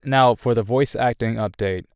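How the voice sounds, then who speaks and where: A man's voice: mid-range voice, normal speed, smooth, no room sound, studio quality. A young man giving a candid personal update on his channel, rambling with frequent restarts. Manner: earnest and candid. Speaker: YouTuber.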